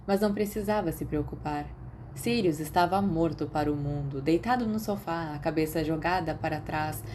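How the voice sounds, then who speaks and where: A woman with a Brazilian accent reading fiction aloud as an expressive scripted narrator. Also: on the low side, slight background noise. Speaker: audiobook-style narrator of fan fiction.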